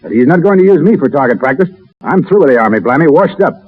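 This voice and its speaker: A thin, muffled old radio broadcast carries a defiant American legionnaire. His voice is fairly high and rough-edged, with slangy, sardonic wisecracks. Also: animated, quick pace. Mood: wry, determined. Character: insolent, wisecracking Foreign Legion soldier, cynical and defiant. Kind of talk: 1930s radio drama acting.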